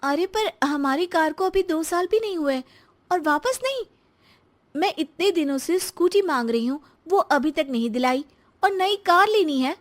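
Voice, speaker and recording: A woman's voice: extremely high pitch, animated, moderate pace, voice pushed up. A woman voicing a practical young wife with a pointed, complaining manner, in a cartoon story. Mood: reproachful. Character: neglected, practical young wife, nagging and reproachful.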